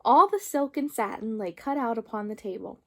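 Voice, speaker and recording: A woman storyteller reading a children's book aloud in an expressive narrating style. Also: fairly high pitch, fairly quick.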